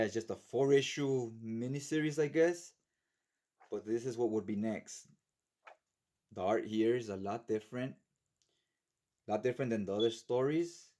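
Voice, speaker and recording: A man's voice: fairly low pitch, warm tone. A man giving a casual, unscripted video overview as a comic collector, chatty and informal, often checking in with a tag question. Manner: chatty, informal, often tags sentences with "right?". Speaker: comic book collector presenting a reading guide.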